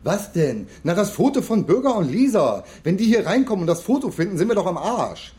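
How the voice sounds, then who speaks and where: A man's voice: sits high, sing-song, a little fast, dark tone. An actor playing a hapless, nervous, whiny young man out of his depth in a radio crime comedy. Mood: panicky. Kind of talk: naturalistic German radio crime comedy acting.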